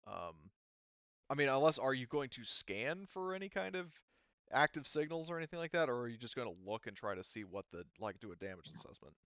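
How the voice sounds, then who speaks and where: A man's voice: mid-range voice, very animated, brisk, fairly bright tone, clean tone, dry recording, lo-fi sound. A man speaking casually as game master, narrating scenes and making rulings in an informal way.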